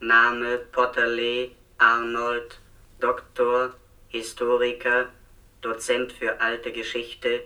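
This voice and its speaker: Sharp, monotone robot data reader. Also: male voice, mid-range voice, takes it slowly, distant mic, poor audio. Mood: impassive, mechanical. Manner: mechanical, clipped, monotone recitation. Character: emotionless robot speaker reciting data files from a supercomputer. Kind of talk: German radio play acting.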